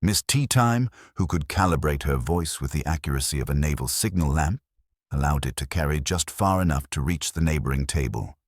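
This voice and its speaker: A man reading a mystery story as a scripted narrator, in a measured, formal way. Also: extremely low pitch, expressive, no room sound, studio quality.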